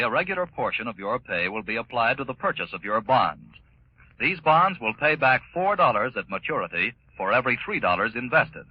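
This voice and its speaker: A man announcing on 1940s radio, reading a scripted pitch in a formal, emphatic way. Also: husky, no room sound. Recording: muffled 1940s radio recording.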